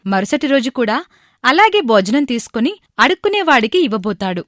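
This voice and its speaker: A woman's voice: on the high side, big pitch swings, brisk, no room sound, clean studio sound. A woman narrating a scripted moral story.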